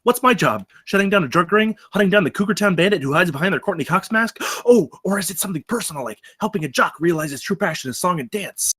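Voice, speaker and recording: Man acting an eager, husky high-voiced officer. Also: animated, fast, hardly any pauses, a bit bright, no echo, clean studio sound, heavily acted. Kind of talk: script table read.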